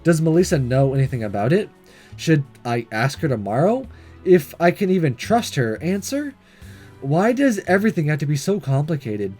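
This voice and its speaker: A man reading a visual novel aloud as a gaming host, mixing expressive narration with casual commentary. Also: fairly high pitch, normal speed, husky, faint music behind.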